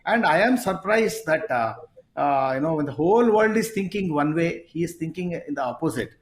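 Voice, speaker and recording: A man with an Indian English accent, hosting a sports talk show and speaking haltingly with many fillers. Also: fairly high pitch, lively intonation. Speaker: talk show host, sports psychology coach. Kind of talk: conversational interview talk. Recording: roomy echo.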